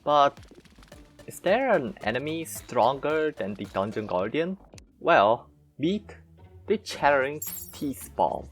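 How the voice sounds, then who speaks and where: A gaming video narrator of mid pitch, speaking slowly with wide swings in intonation over music. The delivery is scripted but halting, with key words leaned on. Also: very animated. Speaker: video game channel host. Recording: background music under voice-over.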